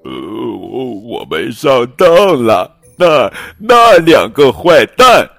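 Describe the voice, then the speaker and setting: A man's voice: mid-range voice, animated, normal speed, fairly bright tone, breathy edge, dry recording, clean studio sound, soft background music, voice pushed up. A man voicing anxious elephants from the herd in a children's storybook. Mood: flustered. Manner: calling out anxiously.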